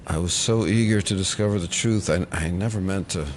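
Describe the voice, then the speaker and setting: An actor playing a troubled federal agent in an audio drama, confessing in a halting, subdued way with guilt and wry defensiveness. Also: male voice, sits low, slight background noise, natural voice. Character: troubled male FBI agent, haunted and guilty, wryly defensive. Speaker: FBI special agent.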